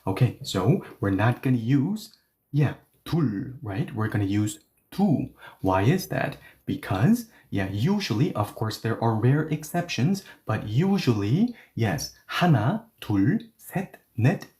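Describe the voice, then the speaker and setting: A man giving an online language lesson with a mid-range voice, neither high nor low. He explains patiently, leans on "okay, so" and "yeah", and moves between explanations and slow, careful example readings. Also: very animated, a little mellow. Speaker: Korean language teacher.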